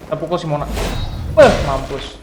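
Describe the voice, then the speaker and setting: A man's voice: on the high side, animated, fast talker, noisy background. A man with an Indonesian accent giving casual, informal gameplay commentary as a streamer, reacting to the game. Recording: roomy sound with game music. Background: game music and sound effects.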